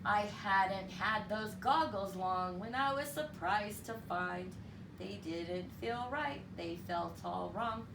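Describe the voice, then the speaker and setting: A woman reading a story aloud to children in an expressive, deliberate, teacherly way. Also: medium pitch. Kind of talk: expressive read-aloud storytelling with counting lesson. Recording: roomy, distant mic with clear background noise.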